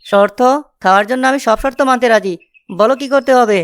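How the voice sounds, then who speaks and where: Woman voicing a lazy, whining young village man, high-pitched. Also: fairly quick, lightly acted. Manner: whining, carefree, dreamy about food. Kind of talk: cartoon story voice acting.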